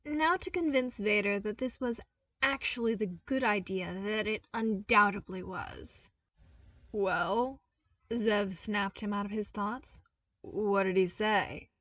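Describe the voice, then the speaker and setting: A woman's voice: mid-range voice, a little slow, sharp tone, no room sound, distant mic. A woman reading scripted fan fiction as an audiobook narrator. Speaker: podfic narrator.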